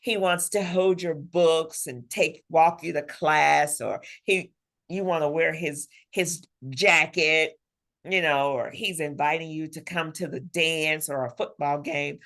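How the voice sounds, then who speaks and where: A woman's voice: sits low, fairly even intonation, measured pace, fairly bright tone. A woman talking as an interview guest and author, telling stories expressively and acting out imagined bits of dialogue. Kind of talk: conversational interview, animated storytelling.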